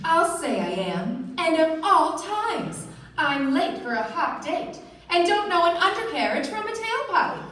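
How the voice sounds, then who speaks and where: A woman's voice: extremely high pitch, very animated, a little slow, a bit bright, exaggerated voice. An actress playing an exasperated, girlish woman in a vintage radio commercial. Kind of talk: 1940s radio ad acting. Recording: echoey stage, distant microphone.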